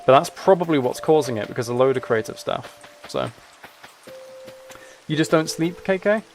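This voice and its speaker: A man's voice: fairly high pitch, expressive, moderate pace, gravelly, a little mellow. Man chatting over a game, music behind. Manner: rambling, musing aloud. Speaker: live streamer. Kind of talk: casual chat with viewers while gaming.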